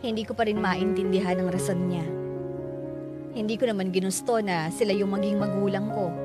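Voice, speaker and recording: A woman's voice: mid-range voice, lightly acted. A woman acting a wounded but hopeful young daughter, narrating her life in a radio drama with a Filipino accent. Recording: loud music under the voice.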